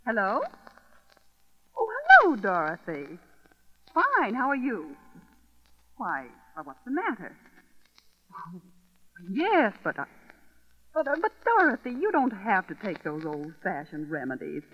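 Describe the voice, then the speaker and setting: An actress playing a cheerful, reassuring housewife chatting with a friend, in the style of a vintage radio commercial. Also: female voice, medium pitch, wildly swooping pitch, unhurried, pauses often. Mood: chatty, reassuring. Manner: bubbly, emphatic, reassuring. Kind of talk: 1940s radio commercial acting. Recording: dull vintage radio recording.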